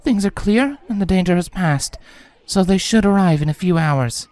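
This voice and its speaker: A man's voice: high-pitched, animated, normal speed, darkish tone, dry recording, pitched above the performer's own voice. A man voicing a regal, resolute adult female pony princess in a fantasy storybook reading.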